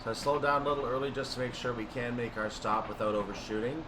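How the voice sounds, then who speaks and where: A man's voice: mid-range voice, fast. A man giving play-along commentary on a train simulator, slipping into station-announcer style calls. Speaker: gameplay commentator. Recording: steady noise behind the voice.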